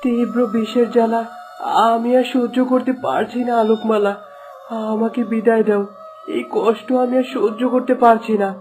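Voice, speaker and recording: A man's voice: extremely high pitch, little pitch movement, normal speed, darkish tone, faint music behind. A man playing a remorseful, lovelorn folk-tale hero in an earnest, melodramatic cartoon style. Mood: anguished, resigned.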